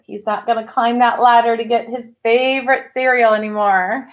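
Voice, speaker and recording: A woman reading a picture book aloud to children, expressively and with dramatic pauses, adding explanatory asides in a teacher's manner. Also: a little high, fairly even intonation.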